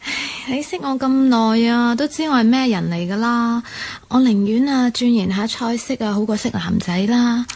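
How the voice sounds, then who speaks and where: Higher-voiced, shy student in radio drama. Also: female voice, moderate pace, few pauses, a little mellow. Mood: wry, familiar. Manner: reserved, self-effacing. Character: shy, homely young woman student who loves cooking. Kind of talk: Cantonese radio drama acting.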